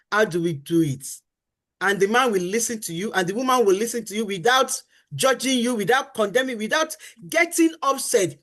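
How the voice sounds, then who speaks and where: A woman's voice: on the high side, a bit bright. A middle-aged woman on a faith-based talk show, teaching about marriage. She talks in an earnest, emphatic way, repeats her key phrases, and acts out what spouses say.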